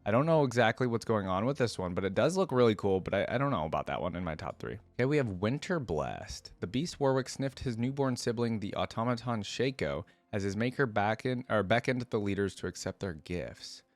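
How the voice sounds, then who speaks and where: Man, lowish pitch, dry close sound, casual reaction host, conversational, thinking aloud. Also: expressive, brisk, hardly any pauses, no echo. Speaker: reaction video host and streamer. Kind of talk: casual reaction commentary with reading aloud from web pages.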